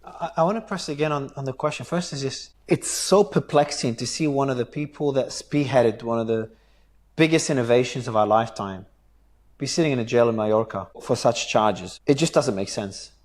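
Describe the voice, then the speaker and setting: A man's voice: medium pitch, a little fast. A man hosting an interview, asking questions in an earnest, searching way with hesitant restarts. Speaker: interview host.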